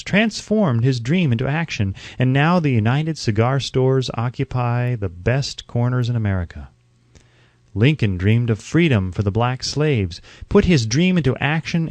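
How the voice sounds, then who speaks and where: A man delivers scripted audiobook narration in a medium-pitched voice. He reads in measured, declarative phrases with an oratorical bent. The recording is dry and close, with no room echo. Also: a little mellow. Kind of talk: scripted audiobook narration of a self-help book.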